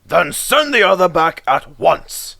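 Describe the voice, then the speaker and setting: A man's voice: high voice, sing-song, unhurried, vocal fry, pushed and bright, no room sound, studio quality, voice pushed up, heavily acted. A man voicing a stern, exasperated railway controller with a commanding, emphatic manner in a storybook reading. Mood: astonished, stern.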